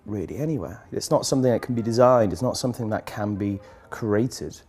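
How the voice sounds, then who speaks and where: A man's voice: fairly low pitch, fairly quick, darkish tone, slightly rough. A man being interviewed as a music campaigner, speaking earnestly and loosely, with frequent fillers. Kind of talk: interview answer.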